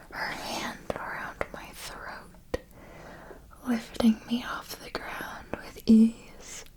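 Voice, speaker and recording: Female performer for seasoned vampire mentor, ASMR roleplay. Whispered, extremely unhurried, formal and theatrical, doting yet menacing. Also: on the high side, darkish tone, low-quality recording. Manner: slow, deliberate, theatrical, formal diction.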